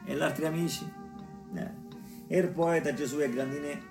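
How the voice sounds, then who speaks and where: An actor playing a colloquial storyteller with a Roman accent, narrating a bawdy tragicomic tale in theatrical style for a radio play. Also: male voice, a little high, steady tone, moderate pace. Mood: storytelling. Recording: roomy distant voice over clear music. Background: music.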